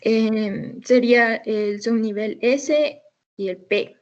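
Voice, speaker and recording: A woman's voice: fairly high pitch, takes it slowly. A young woman, a student giving hesitant answers over dull lo-fi audio.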